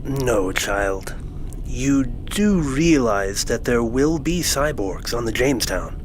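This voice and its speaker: A woman playing a gentle, measured professor and guardian in a science fiction audio drama. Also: extremely low pitch, animated, slight background noise, exaggerated voice. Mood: careful, concerned.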